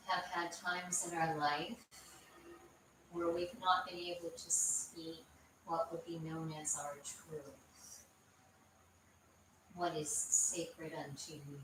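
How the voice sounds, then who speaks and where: Female meditation guide, low-pitched, gentle and unhurried; distant mic, noticeable background noise. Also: heavy reverb. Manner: gentle, soothing, unhurried with frequent pauses. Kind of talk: guided meditation, spoken live to a group. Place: indoor room with a group present.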